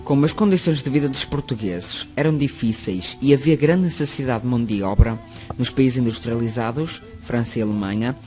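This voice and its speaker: Man reading a school history project. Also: medium pitch. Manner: steady reading of a prepared text. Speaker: student narrator. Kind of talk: school project narration read aloud. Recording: music playing under the voice. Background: music.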